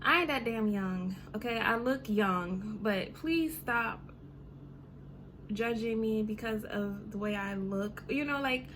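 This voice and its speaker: A woman's voice: mid-range voice, slow, a bit bright, slight background noise. A woman in her thirties ranting casually to her vlog audience, chatty and full of "you know" and "um". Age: 30s. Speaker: vlogger. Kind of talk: casual rant to camera.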